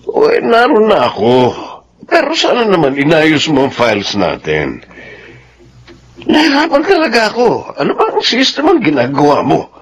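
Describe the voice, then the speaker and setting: Fussy doctor, swooping delivery. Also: male voice, on the high side, huge pitch swings, moderate pace, husky, exaggerated voice. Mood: exasperated. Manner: fussy, mixes in English. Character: fussy, exasperated, muddled male doctor. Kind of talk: Filipino radio drama acting.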